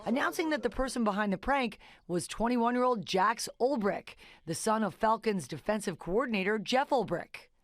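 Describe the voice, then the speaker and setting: A woman's voice: a little high, animated, moderate pace, hardly any pauses. A woman with an American accent narrating a scripted television news report as a correspondent.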